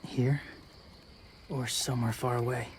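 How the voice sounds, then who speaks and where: Man acting a flat, terse, weary young wanderer. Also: mid-range voice, unhurried, slight background noise, natural voice. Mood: weary, subdued. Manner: terse, clipped fragments, subdued. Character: quiet young male wanderer, weary, gentle, guarded. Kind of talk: restrained video game drama acting.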